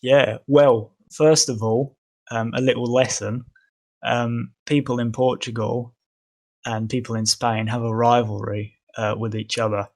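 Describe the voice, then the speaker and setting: Hesitant young Englishman, flat voice. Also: mid-range voice, a little slow. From England. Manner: hesitant, many ums and restarts. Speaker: guest. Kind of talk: casual conversation.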